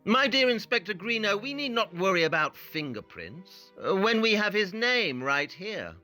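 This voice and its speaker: A man plays a brilliant, pompous detective in a radio-style drama. The voice is raised and forceful, with lively swings and incisive, dismissive theatricality. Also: sits high, normal speed, soft background music, heavily acted. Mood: superior, dismissive.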